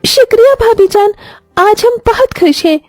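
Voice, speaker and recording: A woman voicing a sweet young sister-in-law in a moral story, gushing with girlish excitement. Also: very high voice, normal speed, no room sound, faint music behind, pitched above the performer's own voice. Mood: happy, grateful.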